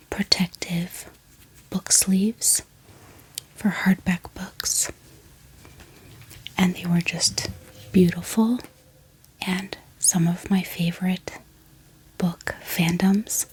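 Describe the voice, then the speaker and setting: A woman hosting an ASMR channel, speaking in a gentle, hushed whisper with pauses. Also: on the low side, rough recording. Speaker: ASMR book channel host. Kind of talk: soft-spoken whispered ASMR.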